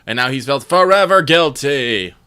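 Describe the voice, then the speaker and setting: A man's voice: medium pitch, lively intonation, normal speed, bright, forceful tone, clean tone. A man co-hosting a live gaming stream, mostly short reactions with the odd trivia aside. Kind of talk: casual live-stream chat over gameplay. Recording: audible background noise on stream audio.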